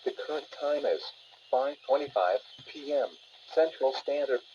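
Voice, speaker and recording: A man's voice: mid-range voice, moderate pace. A synthetic male text-to-speech voice reading scripted weather and alert bulletins as an automated announcer over a radio. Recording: muffled, noisy weather radio playback.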